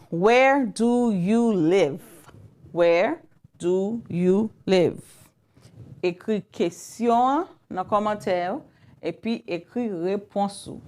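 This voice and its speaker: A woman with a Haitian Creole accent teaching English in a live online lesson, speaking slowly and repeating phrases as she writes them out. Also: mid-range voice, darkish tone. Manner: slow, repeats phrases while writing them out.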